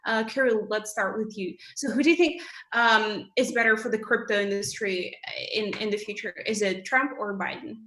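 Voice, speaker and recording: A woman co-hosting a casual online panel, chatty and lively, on a video call. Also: mid-range voice, echoey room. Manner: lively, chatty, quick recaps. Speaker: co-host.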